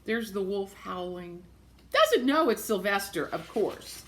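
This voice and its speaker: A woman reading a picture book aloud to young children, expressively, pausing to point things out in simple words and repeating phrases. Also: mid-range voice, animated, a little hiss or hum. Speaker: storybook reader for young children.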